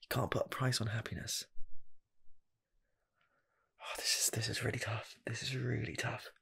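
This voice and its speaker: A man playing an earnest rocket scientist applying for a fast food job, in a soft, half-whispered ASMR roleplay voice that rambles and restarts. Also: mid-range voice, steady tone, creaky, husky, full character voice. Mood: torn. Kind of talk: ASMR personal attention roleplay.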